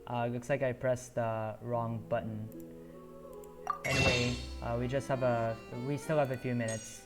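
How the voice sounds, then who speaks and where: Man, game streamer, slow unhurried talk, halting with many fillers, game music behind. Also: mid-range voice, flat delivery. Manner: halting, lots of um and uh. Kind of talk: casual gameplay commentary.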